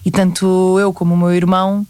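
A woman's voice: fairly low pitch, a little fast, clear, resonant tone. A young woman with a European Portuguese accent, a singer-songwriter talking animatedly as a guest in an interview. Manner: lively, animated storytelling. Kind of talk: conversational interview.

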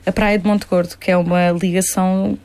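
A woman's voice: fairly low pitch, flat delivery, a little fast, a little mellow, clear, resonant tone. A young woman from Spain with an Algarve Portuguese accent, a singer-songwriter chatting casually in an interview, laughing often and trailing off to restart.

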